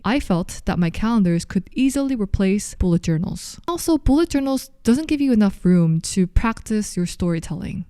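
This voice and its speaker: A woman in her thirties talks to the camera in a friendly, explaining way. Her voice is mellow and smooth, and the sound is close and dry. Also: medium pitch, dry recording. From South Korea. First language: Korean. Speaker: vlogger. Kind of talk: scripted talk to camera.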